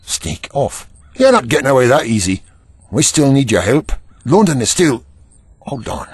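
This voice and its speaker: Man doing a stubborn prime minister, husky, swings all over the place, dry close sound. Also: medium pitch, sing-song, normal speed, no echo. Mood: surprised, wistful. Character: stubborn, emotional male Prime Minister from small-town Scotland. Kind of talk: audio drama acting.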